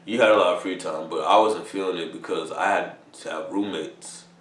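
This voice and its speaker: A man's voice: low voice, moderate pace, a bit bright, roomy sound, recorded from a distance. A man in his thirties from New York, a soldier casually rambling in slangy talk with lots of "bro" and swearing. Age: 30s. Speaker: vlogger, army soldier. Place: army barracks room.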